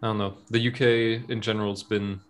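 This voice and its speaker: A man hosting a casual podcast interview. He talks haltingly, laughs often and restarts his sentences a lot. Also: fairly low pitch, level tone. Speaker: podcast host.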